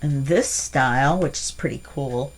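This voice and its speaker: Middle-aged lady unboxing craft stuff, stuffy nose, low gravelly voice, talks slow and rambly. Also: low-quality recording. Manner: chatty, rambling with self-corrections and fillers. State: stuffy from allergies. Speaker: crafting channel host. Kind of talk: casual unboxing demo.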